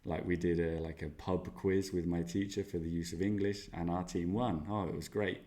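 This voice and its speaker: A man's voice: sits low, steady tone, normal speed, warm tone, lightly acted. A man playing a cheery young Spanish-accented student. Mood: upbeat. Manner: upbeat, jokey, boastful asides. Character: young male language student, cheerful and self-mocking. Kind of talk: role-played exam interview answers.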